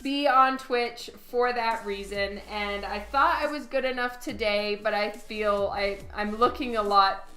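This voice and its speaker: Woman with a cold, hoarse and unhurried, bright and a little high, chatty livestream painter. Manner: chatty, thanks viewers, laughs often. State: hoarse from a cold, voice partly lost. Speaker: digital comic artist streaming. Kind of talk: casual livestream commentary while painting.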